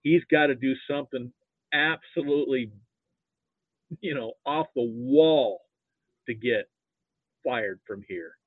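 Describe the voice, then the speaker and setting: An American man heard through a narrow, phone-like connection with a dry sound. His voice is mid-pitched, and he chats about sports in a folksy, halting, rambling way. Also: animated, dry recording. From Nebraska, United States. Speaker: podcast guest, sports fan. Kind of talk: casual chat. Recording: thin phone-like narrow-band line.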